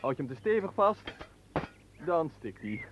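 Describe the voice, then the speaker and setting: Lordly, high-pitched young swordsman, noisy recording. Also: very animated, unhurried. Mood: wry, instructive. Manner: declamatory, languidly ironic. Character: lordly young master swordsman, restless, bored, drily ironic. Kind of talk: Dutch radio play acting.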